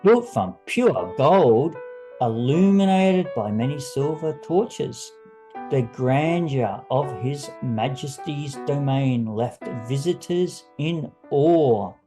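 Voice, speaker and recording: Male audiobook narrator: unhurried dramatic reading, mellow tone, faint music underneath. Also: mid-range voice, animated. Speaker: story narrator.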